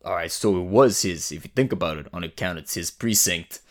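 A man's voice: deep voice, brisk, close to an everyday voice. A man playing a dim, cocky and easily flustered city guard in a fantasy radio drama, slangy and stammering.

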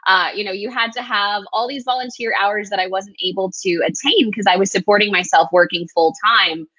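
A woman's voice: medium pitch, a little fast, sharp tone, no echo. A woman from Texas, a recruiter, telling her own story candidly and reflectively as a podcast guest. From El Paso, Texas. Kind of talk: casual interview storytelling. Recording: dry, slightly dull sound.

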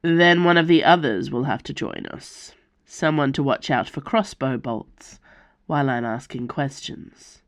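A woman voicing a sullen male bodyguard who speaks curtly and deadpan. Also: very deep voice, a little slow, dry recording, clean studio sound. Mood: insistent. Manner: curt, deadpan and insistent.

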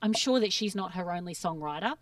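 A woman's voice: fairly low pitch, expressive, brisk, no echo, far from the mic. A woman chatting casually on a podcast, gushing enthusiastically about music she loves. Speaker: guest.

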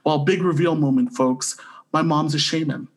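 A man's voice: a little high, moderate pace. A man co-hosting a podcast, giving an enthusiastic, gushing review in a chatty style.

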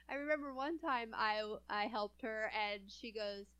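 A woman's voice: fairly high pitch, fairly bright tone, smooth. A young adult woman from California chatting casually as a co-host, laughing often between phrases. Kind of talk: casual chat.

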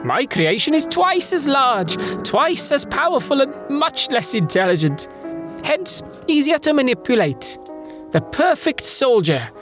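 A smug mad scientist from a sci-fi radio play. The voice swoops theatrically and sits a little high, with grand, mock-courteous phrasing. Music plays clearly underneath. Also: female voice, sing-song, unhurried. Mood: grandiose ranting. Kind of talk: sci-fi audio drama acting.

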